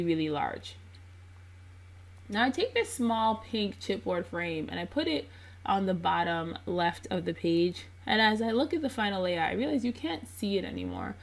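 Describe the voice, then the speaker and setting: A woman's voice: on the low side, vocal fry. A woman narrating a crafting video in a casual, chatty voice-over. She sounds congested and under the weather. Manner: chatty and relaxed, with frequent ums. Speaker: scrapbook crafter narrating her process video.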